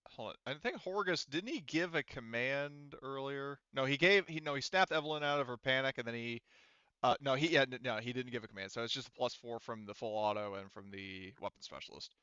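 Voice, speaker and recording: Male game master, casual and profane, smooth tone, close dry sound. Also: medium pitch, moderate pace, bright, forceful tone, no echo, muffled recording. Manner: informal, swears freely. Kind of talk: casual tabletop game narration and rules talk.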